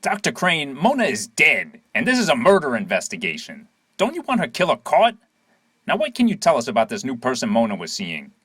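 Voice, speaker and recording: An actor playing a brisk police sergeant with an insistent, official manner in an audio drama. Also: male voice, medium pitch, huge pitch swings, a bit bright, husky, dry recording, studio quality, exaggerated voice. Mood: pressing. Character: brisk male police sergeant questioning witnesses.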